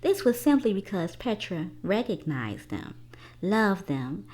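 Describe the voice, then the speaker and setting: A woman reading a children's book aloud in an expressive storytelling style, pausing deliberately between phrases. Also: medium pitch.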